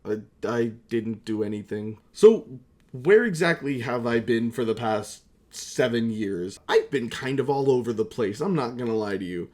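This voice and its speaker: A man's voice: medium pitch, normal speed, a little mellow. A young man chatting casually as a YouTuber, rambling and self-mocking, with some swearing. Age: young adult. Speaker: YouTuber and amateur voice actor. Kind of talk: casual comedic vlog chat.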